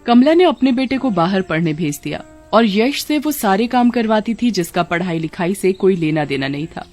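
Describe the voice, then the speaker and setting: A woman's voice: medium pitch, lively intonation, moderate pace, darkish tone, faint music behind. A woman giving scripted narration for a children's cartoon story.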